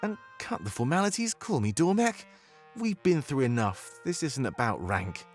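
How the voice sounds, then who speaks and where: A man voicing a warm, cocky and informal rebel general in a visual novel. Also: medium pitch, big pitch swings, moderate pace, faint music behind, close to an everyday voice. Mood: upbeat, proud.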